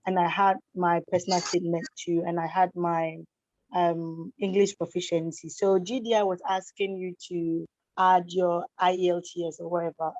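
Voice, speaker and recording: A woman with a low, mellow voice gives direct, repeated advice over a video call. The audio is dull and lo-fi, with a dry, close sound and no room around it. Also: dry recording. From Ghana. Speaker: scholarship recipient panelist. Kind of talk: online webinar talk.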